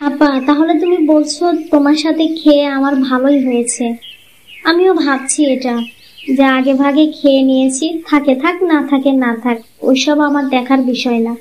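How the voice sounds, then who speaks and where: Cartoon actress playing a selfish young daughter-in-law; high, talkative, pert, on a muffled track. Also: female voice, steady tone, normal speed, natural voice. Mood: smug. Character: self-centred, chatty young daughter-in-law. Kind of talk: cartoon fairy-tale voice acting. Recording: muffled lo-fi audio, clear background noise.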